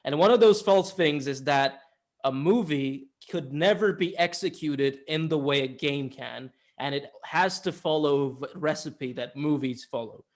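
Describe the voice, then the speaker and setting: A man's voice: fairly high pitch, unhurried. A man co-hosting a film review podcast, chatting casually and giving opinions in informal language.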